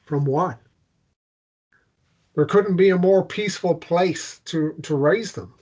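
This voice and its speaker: A man playing a warm, emotionally open man in a read-through of a romance drama. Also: sits high, slow, muffled recording. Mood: soft, sincere.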